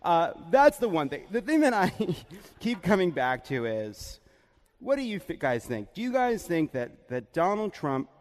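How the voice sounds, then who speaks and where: A man's voice: sits high, sing-song, unhurried. An American man doing casual comedic political banter, joking and theatrical, in a large echoing venue. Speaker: comic political podcast host. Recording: echoey hall with long reverb.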